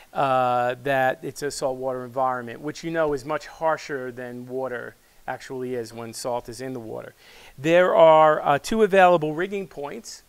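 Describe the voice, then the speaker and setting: A man in his sixties giving an unscripted product presentation in a measured, halting way, pausing mid-phrase. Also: on the high side, smooth. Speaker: loudspeaker company presenter and product developer. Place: small wood-panelled studio, indoors. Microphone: lapel mic.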